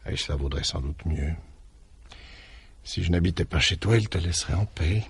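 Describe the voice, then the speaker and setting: An actor in a French radio mystery drama, playing a defensive, weary suspect with a sardonic, short-tempered manner. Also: male voice, deep voice, vocal fry, breathy edge, exaggerated voice. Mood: subdued, weary. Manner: volatile, sardonic, halting when pressed.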